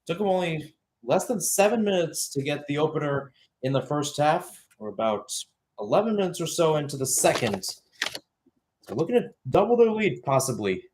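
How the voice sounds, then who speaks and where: A man's voice: on the high side, very animated, moderate pace, a little mellow, slight background noise. A young man giving live radio-style soccer play-by-play in short clipped phrases, with asides and self-corrections. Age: young adult. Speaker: play-by-play sports commentator.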